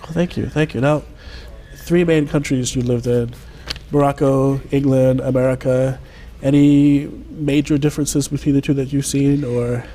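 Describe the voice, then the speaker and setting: A man's voice: mid-range voice, flat delivery, normal speed, mellow, a little hiss or hum. A man hosting a TV interview show, reading polished, formal introductions and asking questions. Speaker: host.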